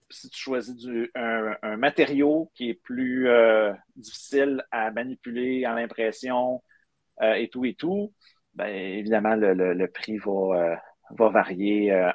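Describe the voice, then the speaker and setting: A middle-aged man with a Quebec French accent talks as an interview guest, explaining in an informal way. His pitch is average for a man, and the sound is dry with very little room. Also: fairly even intonation, measured pace, no room sound. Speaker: guest, maker of digital book bookmarks. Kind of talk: conversational explaining in a live interview. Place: indoor room, on a video call. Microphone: webcam video call.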